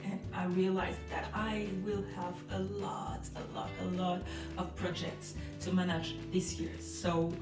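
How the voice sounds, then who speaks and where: Hesitant French-accented woman, roomy distant sound. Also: on the low side. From France. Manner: hesitant, many fillers, soft laughs. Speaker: vlogger. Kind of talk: casual chat to camera. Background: music behind.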